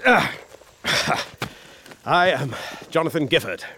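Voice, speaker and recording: A man's voice: on the high side, lively intonation, slow, bright, forceful tone, a little hiss or hum, full character voice. An actor playing a polite young war veteran who narrates his journal and stammers hesitantly, in a radio drama. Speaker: narrator and lead character.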